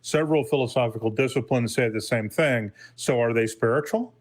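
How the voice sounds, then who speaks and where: A man's voice: mid-range voice, a little fast. A male co-host who introduces callers and asks blunt, probing questions.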